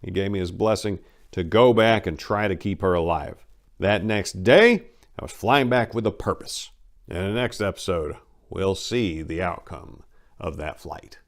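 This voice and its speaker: A man's voice: sits low, a little slow. A man reading first-person memoir narration aloud in a folksy, conversational storyteller's manner. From southern United States (Memphis).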